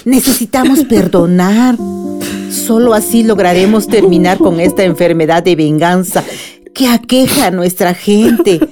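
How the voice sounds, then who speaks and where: A woman playing a wise older grandmother in a radionovela, earnest and deliberate as she consoles and persuades. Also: medium pitch, music in the background, lightly acted. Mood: earnest pleading. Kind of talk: radionovela acting.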